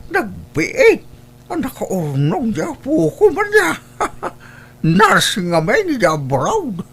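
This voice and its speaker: A woman's voice: fairly high pitch, breathy edge, full character voice. A woman with an Ilocano accent playing a nosy, gossipy older relative in an audio drama, exclaiming and disapproving. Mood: admiring, nosy.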